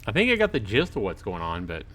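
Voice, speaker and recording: Low-voiced man, casual game livestreamer. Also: huge pitch swings, fast talker, a bit bright. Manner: informal, joking, chatty with viewers. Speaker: livestreaming gamer reading a visual novel to chat. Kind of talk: casual livestream play-through commentary mixed with reading aloud.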